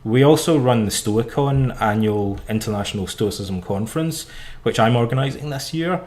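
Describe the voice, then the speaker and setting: A man who is an author and psychotherapist, giving a casual, rambling livestream talk full of "like" and "uh" and greeting viewers warmly. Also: medium pitch, moderate pace. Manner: rambling and conversational, with frequent "like" and "uh"; friendly and enthusiastic. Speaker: author and psychotherapist presenting his course. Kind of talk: casual livestream talk reading audience chat.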